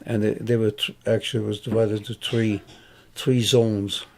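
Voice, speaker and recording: A man in his eighties from Hungary, recalling his past in a halting, casual way with many pauses and fillers. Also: lowish voice, flat delivery, creaky, a little mellow. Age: 80s. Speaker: interviewee grandfather. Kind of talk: casual recollection.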